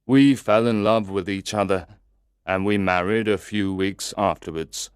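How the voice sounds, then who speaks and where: Low, unhurried male storyteller. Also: smooth, dry recording, clean studio sound. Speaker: storybook narrator reading for English learners. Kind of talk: slow, scripted first-person story narration.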